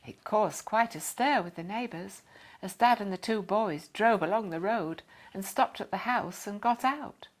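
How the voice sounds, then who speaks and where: A woman reading a children's story aloud in expressive, scripted narration. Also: medium pitch, a little slow. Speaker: story reader.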